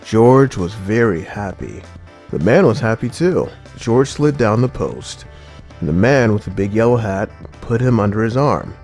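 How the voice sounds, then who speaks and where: A man reading a children's storybook aloud in an expressive, dramatized narrating style. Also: lowish voice, unhurried, soft background music. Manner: expressive, dramatizing key words. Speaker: audiobook narrator.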